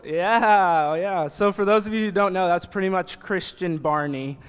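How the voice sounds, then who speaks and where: Young american guy youth pastor, smooth clear voice kinda high, chatty joking sermon. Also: moderate pace. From Nebraska, United States. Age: young adult. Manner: casual, joking, conversational asides. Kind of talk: informal sermon to a congregation. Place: church hall, speaking to congregation. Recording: reverberant hall sound.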